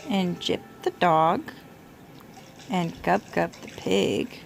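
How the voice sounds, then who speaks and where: A young adult woman reading a children's storybook aloud as a mother does, gently prompting a small child. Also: medium pitch, vocal fry. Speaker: mother reading to her toddler.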